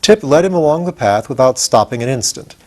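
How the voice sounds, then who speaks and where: A man's voice: mid-range voice, expressive, a little fast, darkish tone. An older man narrating a children's storybook as an audiobook reader.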